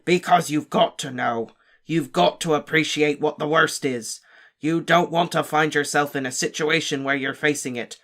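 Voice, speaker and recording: A man voicing a gruff, fierce old male wizard teacher in an audiobook, barking stern warnings. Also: a little high, steady tone, normal speed, a bit bright. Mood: stern, forceful. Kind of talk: audiobook character voice.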